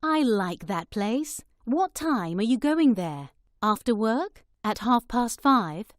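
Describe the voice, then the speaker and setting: A woman reading a scripted listening-test dialogue slowly and clearly. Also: fairly high pitch, very animated, no room sound, studio quality. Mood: friendly. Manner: slow, clear, carefully spaced words.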